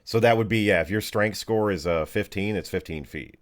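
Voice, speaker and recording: A man working as game master, narrating a tabletop game and explaining rules casually, informal and animated, often fumbling his words. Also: fairly low pitch, brisk. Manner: informal, animated, fumbles words, uses makeshift sound effects.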